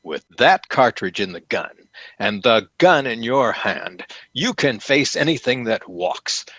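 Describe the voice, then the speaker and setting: An actor playing a fawning, pompous store salesman in an old-time radio comedy, with effusive, theatrical flattery. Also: mid-range voice, a little slow, no echo, highs cut off. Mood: grand sales pitch.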